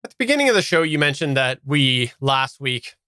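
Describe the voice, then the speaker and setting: A man's voice: mid-range voice, very animated, fairly quick, pushed and bright, clear, resonant tone, no room sound, studio quality. A man co-hosting a podcast, chatting casually and relaying listener comments in a live discussion. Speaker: podcast co-host.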